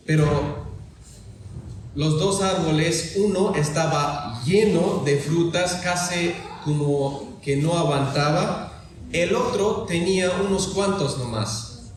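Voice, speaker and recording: A man whose native language is German preaches and tells stories in a halting, deliberate way, as if working in a second language. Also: a little high, recorded from a distance. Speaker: guest preacher at a church gathering. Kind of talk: sermon with storytelling. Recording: very echoey hall, noticeable background noise.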